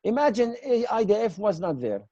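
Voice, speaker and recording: A man gives an earnest, emphatic webinar talk in halting English. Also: sits high, sing-song, warm tone, dry recording, highs cut off. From Israel. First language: not English; speaks Arabic, Aramaic and Hebrew. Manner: earnest, emphatic, often addressing listeners as "my friends"; halting, non-native English with repeated word starts. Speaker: guest speaker, community association chairman and army veteran. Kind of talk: webinar presentation, advocacy talk.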